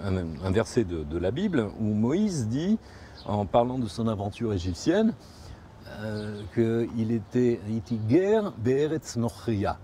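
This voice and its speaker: Man, first language spanish, reflective interview, halting and rephrasing, faint street noise outdoors. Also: fairly low pitch, very animated, mellow. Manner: thoughtful, halting, repeats and rephrases.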